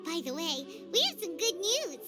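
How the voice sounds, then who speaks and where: A woman voicing a tiny, chirpy, childlike fairy companion in an animated video game dub, with a squeaky, perky delivery. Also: moderate pace. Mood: curious, puzzled. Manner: perky, refers to self in third person. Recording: music behind the voice.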